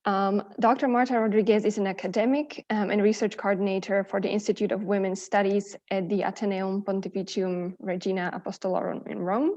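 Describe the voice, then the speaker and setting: A woman's voice: medium pitch, fairly even intonation, fairly quick, hardly any pauses, a little mellow. A woman acting as session moderator, formally introducing a speaker at an academic event. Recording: dull, lo-fi audio.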